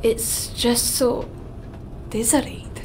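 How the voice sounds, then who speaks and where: A woman's voice: steady tone, slow pace. A woman playing a reserved, homesick astronaut scientist in an audio drama, speaking in a terse, subdued way. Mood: quiet, curious. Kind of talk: naturalistic audio drama acting. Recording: faint rumbling background noise.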